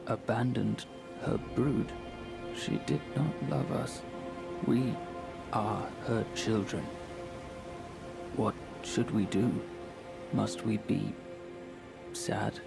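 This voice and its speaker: Slow, gravelly, sorrowful childlike creature. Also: male voice, on the low side, steady tone, full character voice. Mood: mournful. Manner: halting, simple broken sentences. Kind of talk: video game character acting. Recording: loud music behind the voice. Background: music.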